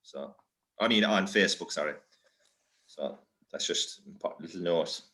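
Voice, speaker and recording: Irish male workshop speaker, fairly low voice, blunt and off-the-cuff. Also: unhurried, sharp tone. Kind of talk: casual workshop talk.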